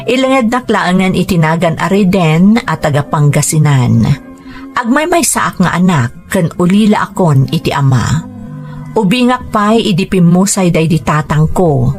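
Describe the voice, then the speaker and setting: A woman's voice: lowish voice, darkish tone. A woman hosting a story programme, reading out scripted narration and greetings. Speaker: radio drama host and letter reader. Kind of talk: scripted narration with shout-outs. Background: background music.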